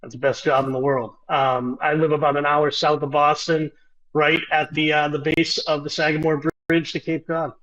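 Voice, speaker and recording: A man's voice: on the high side, little pitch movement, moderate pace, fairly bright tone. Enthusiastic man on a lo-fi call. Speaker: marketing lead. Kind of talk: casual panel talk. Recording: lo-fi call audio.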